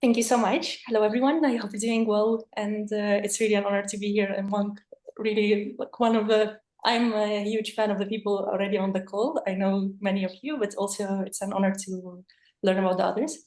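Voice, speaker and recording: A woman's voice: medium pitch, steady tone, fairly quick, darkish tone. Young woman, echoey room. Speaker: panelist, peacebuilding coordinator. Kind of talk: online panel introduction.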